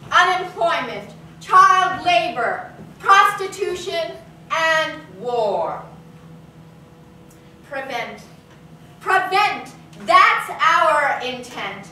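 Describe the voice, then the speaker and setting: An actress playing an earnest, defiant women's rights campaigner, orating with deliberate emphasis in a staged reading. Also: female voice, very high voice, sharp tone, full character voice. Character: Margaret Sanger, earnest defiant birth-control campaigner addressing a rally. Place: small theater, live staged reading. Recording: echoey theater, distant mic, faint noise.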